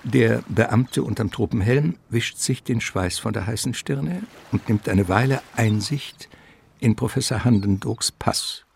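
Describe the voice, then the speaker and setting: A man narrating a scripted radio play in a measured, theatrical storytelling manner. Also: medium pitch, vocal fry, a little hiss or hum.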